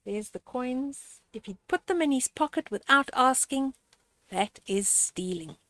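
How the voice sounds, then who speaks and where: Older woman, children's storyteller, very animated intonation, unhurried pace, gently instructive, read-aloud style. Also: high-pitched, dry recording. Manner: gentle didactic, addressing young children directly. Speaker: storyteller reading children's books. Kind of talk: read-aloud picture book with moral commentary.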